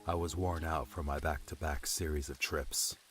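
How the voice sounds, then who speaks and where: Man voicing a low, weary adventurer over music. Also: fairly even intonation, moderate pace. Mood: wistful, weary. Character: weary, affectionate adventurer man, gently teasing. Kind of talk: audiobook character dialogue. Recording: music under the voice.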